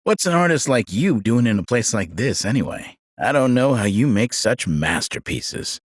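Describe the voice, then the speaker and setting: An actor playing a gruff, sardonic outlaw henchman in a video game, talking in an offhand, slangy way. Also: medium pitch, very animated, normal speed, no room sound, studio quality. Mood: amused. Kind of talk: video game character voice acting.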